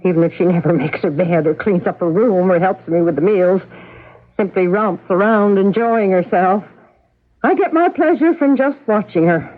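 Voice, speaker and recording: A gentle mother in middle age acted by a woman, speaking in a fond, hesitant, coaxing way. Her voice has a medium pitch and sounds narrow and muffled, as on an old radio drama broadcast. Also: normal speed, lightly acted. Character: gentle, fretful mother of a grown daughter.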